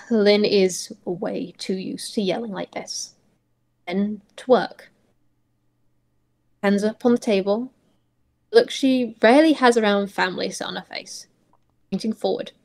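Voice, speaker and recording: A woman narrating roleplay prose as a player, reading dramatically in halting phrases. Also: medium pitch, a little mellow.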